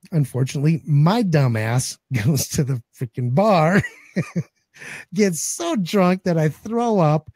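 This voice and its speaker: A man's voice: on the high side, mellow, no echo. A man in his forties co-hosting a casual talk show, joking and cursing bluntly, his voice still cracking after losing it. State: recovering voice, some cracking. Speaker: podcast co-host. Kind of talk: casual chat.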